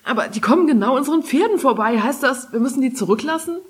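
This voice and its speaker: A woman's voice: high voice, animated, fast talker, slightly rough, voice pushed up. A woman voicing a curious, impulsive girl heroine in a fantasy storybook, earnest and questioning in manner. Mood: anxious questioning.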